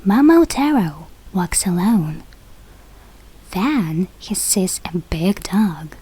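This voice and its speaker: A woman who is a non-native English speaker reads a children's fairy tale aloud, carefully and deliberately, with a breathy, husky voice. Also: medium pitch, very animated, warm tone, low-quality recording. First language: not English. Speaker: storybook reader for English learners.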